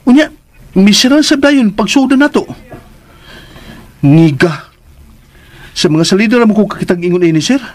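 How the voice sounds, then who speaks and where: Cheeky high-pitched provincial young man. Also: lively intonation, moderate pace, pauses often. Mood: amazed, chatty. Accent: Cebuano. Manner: talkative, deferential, joking. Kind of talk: Filipino radio drama acting.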